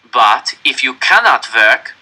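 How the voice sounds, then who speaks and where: A man reading aloud voices a cold, merciless officer who speaks in slow, deliberate, loud pronouncements. The tone is sharply bright. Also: mid-range voice, sing-song, full character voice, low-quality recording. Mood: menacing. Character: cold, cruel male Nazi officer.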